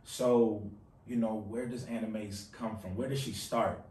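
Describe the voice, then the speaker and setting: A man's voice: fairly low pitch, steady tone, a little slow, a little mellow, far from the mic. A man hosting a casual interview, laid-back, with street slang and lots of "yeah"s. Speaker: interview host. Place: small office meeting room, indoors. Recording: roomy sound from off-mic.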